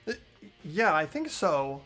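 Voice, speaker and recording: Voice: medium pitch, sing-song, slow, a bit bright, faint music behind. A performer voicing an earnest, hesitant teenage boy student who stammers and questions things. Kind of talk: amateur voiced game playthrough.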